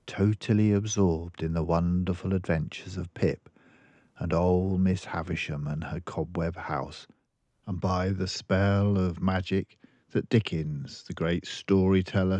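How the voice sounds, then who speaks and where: An older man narrating a children's book expressively, with measured and deliberate phrasing. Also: deep voice, warm tone, no room sound. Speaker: storyteller.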